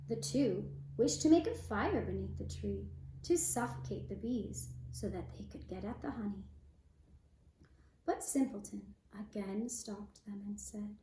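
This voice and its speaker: A woman storyteller narrating a fairy tale for a puppet show in a measured, deliberate way. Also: medium pitch, lively intonation, warm tone, a little hiss or hum. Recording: roomy, echoing sound.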